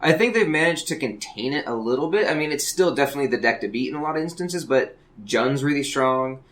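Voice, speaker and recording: A man's voice: medium pitch, expressive, fast talker, a bit bright. A man chatting informally on a hobby podcast, explaining things in an everyday conversational way. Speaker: podcast panelist. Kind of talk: casual hobby podcast chat.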